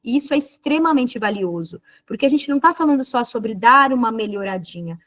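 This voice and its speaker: Brazilian woman lecturing, slightly high voice. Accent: Brazilian Portuguese. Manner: fluent, explanatory, frequent tag questions. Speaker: dentist and course lecturer. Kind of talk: online lecture.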